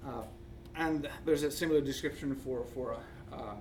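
Man, Finnish accent, academic presenter, halting delivery, middling pitch, distant mic, faint background noise. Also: darkish tone. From Finland. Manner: halting, searching for words. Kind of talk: conference presentation with slides.

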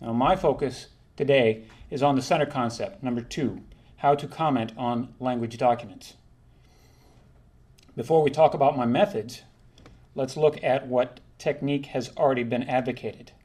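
A man presenting a prepared linguistics talk, reading in a measured, formal way and sometimes correcting himself. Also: mid-range voice, a little mellow, slightly rough. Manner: measured, formal delivery with occasional self-corrections.

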